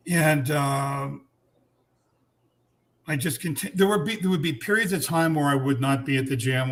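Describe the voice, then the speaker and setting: A man in his seventies tells his story in an unhurried, reflective way. He often pauses on "uh" and "um". His pitch sits at an ordinary middle level. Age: 70s. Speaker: guest. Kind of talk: casual chat.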